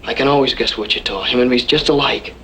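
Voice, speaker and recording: A man's voice: mid-range voice, quick pace, bright, forceful tone, natural voice. A man playing an earnest, loyal young cowboy in an old-time radio western, speaking plain country talk. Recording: faintly noisy old radio recording.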